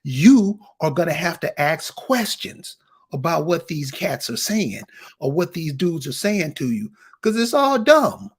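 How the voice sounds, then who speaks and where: Blunt husky-voiced host. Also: male voice, on the high side, sing-song, a little slow. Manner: blunt, emphatic, swears. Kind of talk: casual online discussion.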